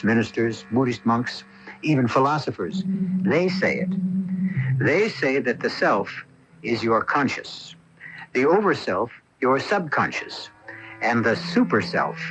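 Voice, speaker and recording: A man's voice: medium pitch, big pitch swings, takes it slowly, a bit bright, faint music behind, low-quality recording. A man narrating as host of a radio mystery drama, theatrical and musing, speaking directly to the listener. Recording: old radio broadcast.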